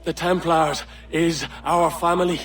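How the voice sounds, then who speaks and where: An actor playing a beaten, scornful pirate with halting, rough sailor's speech in a video game cutscene. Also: male voice, on the high side, little pitch movement, a bit bright, distant mic, slight background noise. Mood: bitter, scornful. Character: bitter, beaten pirate turncoat, scornful and defiant.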